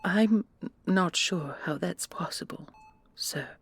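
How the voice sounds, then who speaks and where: Woman acting a very husky, shaken female commandant. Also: fairly low pitch, exaggerated voice. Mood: disoriented, wary. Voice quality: breathy, husky. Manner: halting, apologetic, formal. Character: shaken, deferential female military commandant under interrogation. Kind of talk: audio drama roleplay acting.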